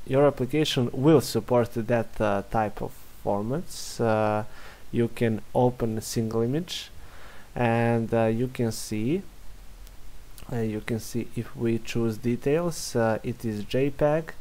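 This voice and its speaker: A young man from Serbia with a Serbian accent, a software developer walking through a screen-recorded demo in halting English full of fillers. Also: mid-range voice, steady tone. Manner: halting, many fillers and restarts.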